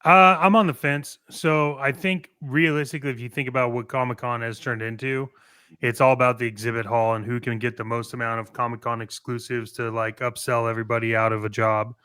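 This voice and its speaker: Clear-voiced American man, casual co-host. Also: mid-range voice, steady tone, fairly quick, pushed and bright, no room sound, studio quality. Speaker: co-host of a toy collecting show. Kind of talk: casual radio-show chat.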